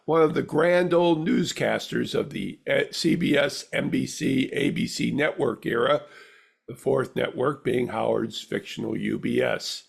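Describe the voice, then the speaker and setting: A man with a gravelly, husky voice reading a scripted audio theater review as the critic. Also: mid-range voice, measured pace.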